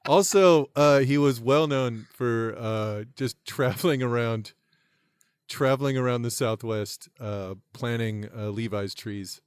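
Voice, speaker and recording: A man with a smooth, resonant voice at an ordinary pitch, calmly spinning absurd made-up tales in casual podcast banter. He chuckles at his own jokes, recorded close on a dry mic. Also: unhurried, no echo. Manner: deadpan tall tales, laughs at own jokes. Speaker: podcast co-host.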